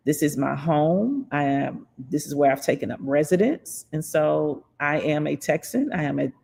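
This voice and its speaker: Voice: mid-range voice, lively intonation, gravelly, darkish tone, husky. A livestream host from Florida, talking casually about politics, reading viewer comments aloud and answering them with frequent laughs. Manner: reads chat comments aloud and replies, laughs often, slows down to stress points.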